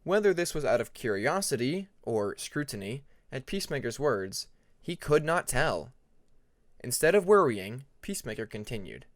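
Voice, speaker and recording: A man reads scripted fiction as an audiobook narrator. His medium-pitched voice moves in an animated way through deliberate, dramatic phrases. The recording is dry and free of room sound. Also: no room sound.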